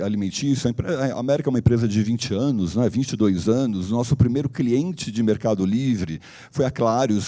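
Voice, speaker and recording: A man who is a company director, slightly hoarse, giving a conference presentation in a loose, explanatory way with frequent fillers and restarts. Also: medium pitch, warm tone. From Brazil. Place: conference hall.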